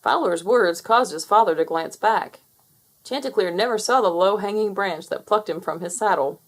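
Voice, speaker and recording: A woman reading a children's picture book aloud in an expressive storytelling manner. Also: medium pitch, moderate pace. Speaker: storybook reader. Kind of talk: children's read-aloud narration.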